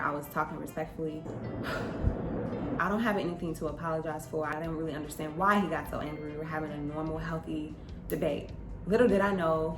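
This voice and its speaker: A young woman with a slightly low voice tells a personal story like a vlogger talking to viewers. Her delivery is conversational and emphatic. The room sounds echoey, and music plays behind her. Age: young adult.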